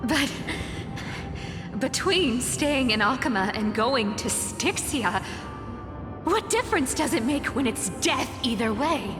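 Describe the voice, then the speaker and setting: A skeptical, guarded young woman in a video game. She drawls slowly and wryly in a slightly high voice. Music plays behind her in a reverberant space. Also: expressive. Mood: bitter, skeptical. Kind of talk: dramatic video game voice acting. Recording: echoey long reverb under music.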